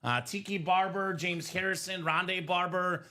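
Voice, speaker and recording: Bright-voiced male card break host. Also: sits high, steady tone, normal speed. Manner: upbeat, salesy running commentary. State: voice a bit worn from singing. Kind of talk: live stream selling chat.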